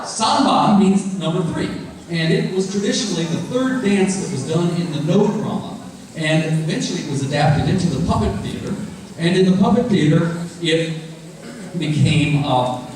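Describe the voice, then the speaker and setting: A man's voice: a little high, animated, normal speed, a little mellow. Echoey, distant man introducing a stage show. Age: middle-aged. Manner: explanatory, addressing the audience directly with questions. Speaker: professor and puppet troupe leader introducing a show. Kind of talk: spoken stage introduction to an audience. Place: festival stage before an audience. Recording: very echoey, distant mic, faint noise.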